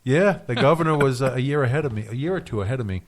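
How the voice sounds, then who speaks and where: A man's voice: medium pitch, racing. A man hosting a local radio talk show, joking with his guest and often restarting his sentences. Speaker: radio host. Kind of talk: casual radio interview.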